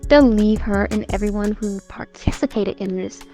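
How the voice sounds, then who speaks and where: A woman's voice: medium pitch, fairly even intonation, moderate pace, mellow, soft background music, lightly acted. A woman playing a talkative young woman who rambles as she tries to reassure and persuade a friend. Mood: reassuring.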